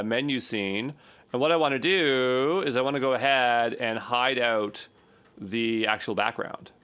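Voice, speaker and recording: A man's voice: mid-range voice, creaky, a bit bright. A man giving an unscripted screencast programming tutorial, thinking aloud in a casual, rambling way and often checking himself with a questioning tag. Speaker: programming instructor.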